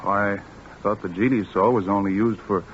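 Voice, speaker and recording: A man's voice: fairly low pitch, steady tone, moderate pace, close to an everyday voice. An actor playing an earnest, obedient young son helping his father in a 1940s radio drama, respectful and uncertain. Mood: dutiful, uneasy. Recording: dull, noisy old radio recording.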